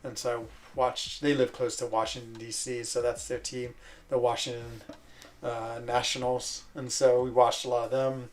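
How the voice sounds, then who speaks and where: A man who grew up in Switzerland, chatting casually and rambling while he plays a video game, breaking off into quick exclamations. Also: medium pitch, flat delivery. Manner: rambling, self-interrupting chatter with fillers and sudden exclamations. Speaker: gaming streamer.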